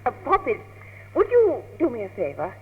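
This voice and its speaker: A woman's voice: fairly high pitch, huge pitch swings, moderate pace, husky, heavily acted. An actress with a British accent playing a poised, probing woman in an old radio comedy, arch and coaxing. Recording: dull old radio tape, long reverb.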